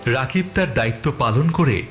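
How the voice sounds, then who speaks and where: A man's voice: mid-range voice, animated, normal speed. A man giving dramatic scripted narration of a story. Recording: music bed under the narration. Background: prominent music.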